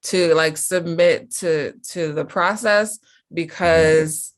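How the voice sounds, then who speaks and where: An American woman hosts a relaxed conversation. She speaks at an unhurried pace, circling around her questions with many "like"s and "um"s before landing on them. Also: sits low. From United States. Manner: rambling, heavy use of "like". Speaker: interviewer host. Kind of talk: casual interview chat.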